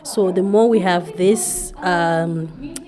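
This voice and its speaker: A woman's voice: on the low side, slow pace, a crowd in the background. A woman in her thirties from Zimbabwe, whose first language is Shona, answering radio interview questions in a hesitant, thoughtful way with many fillers. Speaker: guest. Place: community event.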